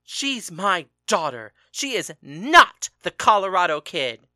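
A man voicing an angry husband and father who yells and accuses his partner in a storybook reading. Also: fairly high pitch, moderate pace, clean tone, no echo, clean studio sound. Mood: furious. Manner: shouting, accusing. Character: furious husband and father, quick-tempered.

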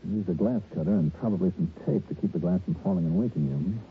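Matter-of-fact American insurance investigator, deep pitch, clipped dry delivery, hard-boiled radio drama, muffled vintage recording. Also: male voice, fast talker, slight background noise. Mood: probing, businesslike. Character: cool, matter-of-fact male insurance investigator narrating his case. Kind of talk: old-time radio detective drama, narration and dialogue.

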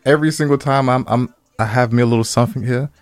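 A man's voice: mid-range voice, fairly even intonation, fast talker, no room sound. An American man co-hosting a podcast, chatting casually with slang and profanity, teasing and repeating phrases for emphasis. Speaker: podcast co-host. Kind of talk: casual bantering chat with profanity.

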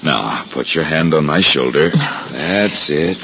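An actor playing a kindly, protective biblical husband, calm and reassuring, in a 1950s radio drama. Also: male voice, extremely low pitch, slow pace, background noise, exaggerated voice. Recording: muffled old radio recording, music behind.

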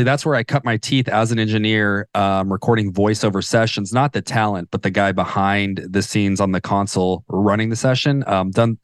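Man, low smooth voice, casual rambling panel intro, dry close mic. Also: no echo. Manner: relaxed, filler-heavy, rambling. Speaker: podcast production company founder.

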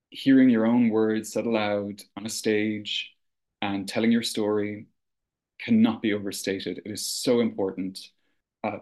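Low-voiced man, earnest podcast guest. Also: darkish tone. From rural Ireland. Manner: thoughtful, earnest, with frequent restarts and "you know". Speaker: artistic director, guest. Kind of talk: conversational podcast interview.

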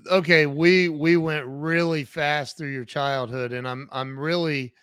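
A man hosting his own interview show, speaking haltingly and repeating words as he starts his sentences. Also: fairly high pitch, steady tone, a bit bright, clear, resonant tone, dry recording, studio quality. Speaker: podcast host. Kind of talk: interview chat.

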